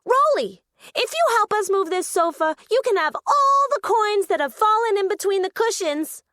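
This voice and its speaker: Woman voicing a shrill, bossy storybook girl. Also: animated, moderate pace, pushed and bright, no echo, clean studio sound, exaggerated voice. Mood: urgent, bargaining. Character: Penelope, bossy, exasperated female storybook friend.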